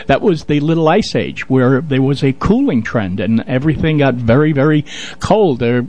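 A man chatting casually as a radio co-host, with a halting, talkative and promotional manner. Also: medium pitch, darkish tone. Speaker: radio show co-host and local theater director.

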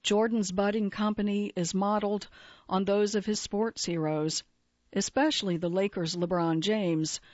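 A woman reads a magazine feature aloud in an even, measured way, at a mid pitch for a woman. The recording is dry, with no room around her voice. The sound is slightly dull and muffled. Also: no room sound. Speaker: article narrator. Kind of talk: scripted narration of a magazine feature.

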